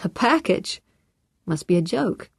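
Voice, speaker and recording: A woman reading the lines of a calm, guarded man, keeping a light and unworried tone. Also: on the low side, a little slow, vocal fry, breathy edge, no room sound, studio quality, exaggerated voice.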